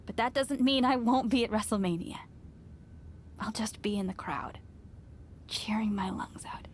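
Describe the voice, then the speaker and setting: An actress playing a teasing, caring wrestling promoter in a video game cutscene. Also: female voice, fairly high pitch, moderate pace, slight background noise, close to an everyday voice. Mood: tender, wistful. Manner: teasing, sighs and chuckles.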